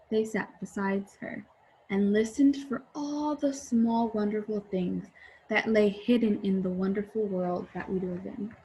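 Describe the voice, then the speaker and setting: A woman's voice: medium pitch, a little mellow, dull audio. A woman teacher reading a storybook aloud and explaining it simply to young children. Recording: faint background noise.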